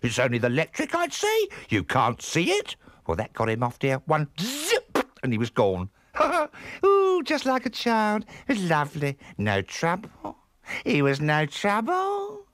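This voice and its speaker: A man's voice: sits high, huge pitch swings, measured pace, a bit bright, no echo, pitched above the performer's own voice, exaggerated voice. A man voicing a chatty, rambling working-class old housekeeper woman with a gleefully macabre streak in a comic story. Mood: cheerfully macabre. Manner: chatty, rambling, with cooing asides.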